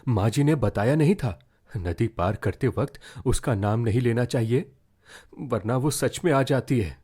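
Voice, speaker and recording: A man's voice: medium pitch, measured pace, warm tone, husky, pitched above the performer's own voice. A man voicing a young village wife who is anxious, devout and superstitious, speaking in a fretful, pleading way. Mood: fearful, pleading.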